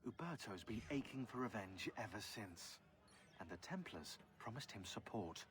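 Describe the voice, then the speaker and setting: An actor playing a wry modern researcher who delivers a conversational, sardonic briefing in a video game. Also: male voice, lowish voice, expressive, moderate pace, natural voice. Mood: wry, informative. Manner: sardonic, informal. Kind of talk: conversational scripted narration in a game.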